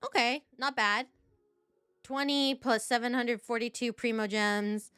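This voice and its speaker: A woman with a fairly high voice streams a video game. She talks casually to viewers, narrating her thoughts as they come. The sound is dry, with faint music underneath. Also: fairly even intonation, unhurried, a bit bright, clear, resonant tone, no echo. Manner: chatty, thinking aloud to viewers. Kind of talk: casual live gameplay commentary.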